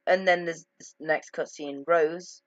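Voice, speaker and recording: A young-sounding gamer giving casual commentary on a game's story in a halting way, often repeating and correcting words, on a lo-fi microphone. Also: medium pitch, fairly even intonation, fairly bright tone, no echo. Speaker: gaming video narrator. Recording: dull lo-fi microphone.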